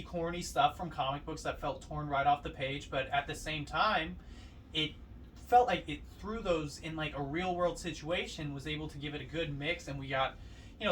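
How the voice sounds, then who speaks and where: A man chatting casually as a podcast co-host, ranking films in a halting way with many filler phrases. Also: fairly high pitch, a bit bright. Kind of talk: casual film ranking chat. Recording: distant mic with faint background noise.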